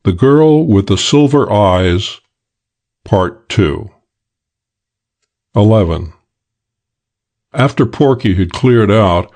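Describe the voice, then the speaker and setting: A man reading a hardboiled detective audiobook as scripted narration, in a measured, matter-of-fact way. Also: fairly low pitch, a little mellow.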